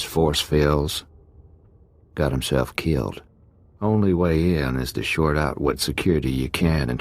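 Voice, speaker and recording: Game casting: streetwise gang convict, bottom-deep gravel, wry and doubting. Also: male voice, exaggerated voice. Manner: slangy, drawling tough talk. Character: rough, skeptical male prison-gang convict, streetwise and wry. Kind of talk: video game voice acting.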